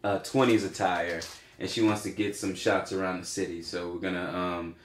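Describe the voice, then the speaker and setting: A man, in his thirties, speaks casually on a podcast. His voice sits on the low side, and he rambles with frequent fillers and restarts. The room around him is echoey. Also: little pitch movement, normal speed, a little hiss or hum. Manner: rambling, hesitant, lots of fillers. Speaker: podcast co-host. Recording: roomy echo.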